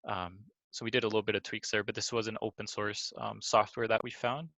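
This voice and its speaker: Bright-voiced young man presenting, fairly low-pitched. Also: little pitch movement, brisk, creaky, dry recording. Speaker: student presenter. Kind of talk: informal project presentation.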